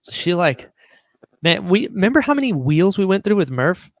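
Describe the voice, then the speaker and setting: A man's voice: high-pitched, big pitch swings, moderate pace, dry recording. A man chatting casually and enthusiastically as a podcast guest, telling production stories and acting out quoted remarks. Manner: enthusiastic, acts out quotes mid-story. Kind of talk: casual animated interview chat.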